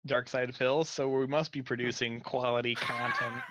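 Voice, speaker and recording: A man's voice: medium pitch, little pitch movement, moderate pace, a bit bright, dry recording, rough recording. A man chatting casually on a podcast, bantering and teasing the others. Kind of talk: casual chat.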